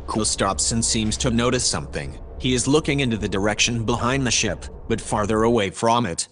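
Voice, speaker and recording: An actor playing a composed analyst who reports events in a measured, formal way in a sci-fi audio drama. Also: male voice, on the low side, a bit bright, natural voice. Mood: composed, observant. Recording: clear background noise and ambience.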